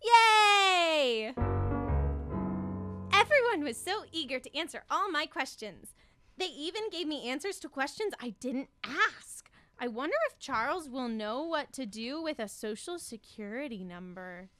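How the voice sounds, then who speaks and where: An eager, naive schoolgirl played by a young woman chatters through a radio comedy. Her voice is very high and bright, swooping with animated melody. She tells her own adventures with chirpy earnestness. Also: a little slow, soft background music, heavily acted. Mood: excited. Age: young adult. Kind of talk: student radio comedy serial acting. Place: small radio studio with cast around microphones.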